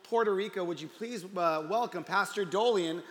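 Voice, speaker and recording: A man's voice: high voice, moderate pace, heavy background noise. A man pastor hosting his church service, joking with the congregation and then praying earnestly, speaking on a stage with a handheld mic. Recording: reverberant hall with audience.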